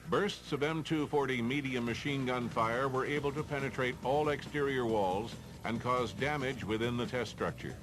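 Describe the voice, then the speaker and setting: A man's voice: medium pitch, normal speed, hardly any pauses, background noise. A man with an American accent reads formal, matter-of-fact instructional narration for a training film.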